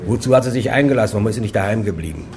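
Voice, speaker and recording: Guy doing a skeptical grumbly townsman, low voice, noisy crowd. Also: animated, rapid-fire, darkish tone, lightly acted. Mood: grumbling.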